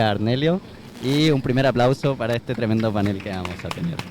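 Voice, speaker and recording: A man moderating a live conference panel, courteous and brisk as he hands the microphone from speaker to speaker. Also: medium pitch, warm tone, a little hiss or hum. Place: conference venue.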